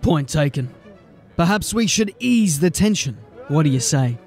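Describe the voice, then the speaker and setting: Blunt, wry lawman of a fantasy city watch, slightly high-pitched, grumbling. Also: lively intonation, unhurried, darkish tone, soft background music. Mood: wry, playful. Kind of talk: fantasy audio drama acting.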